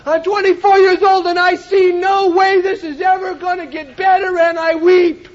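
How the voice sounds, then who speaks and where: A man giving an impassioned personal testimony at a recovery meeting, often shouting for emphasis. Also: very high voice, flat delivery, moderate pace, muffled recording. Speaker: recovery speaker.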